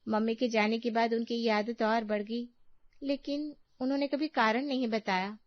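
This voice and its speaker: A woman playing a calm, supportive young sister-in-law in a family story, with an understanding, reassuring manner. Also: a little high, moderate pace, muffled recording, lightly acted. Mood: calm concern. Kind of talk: acted dialogue in a Hindi family story.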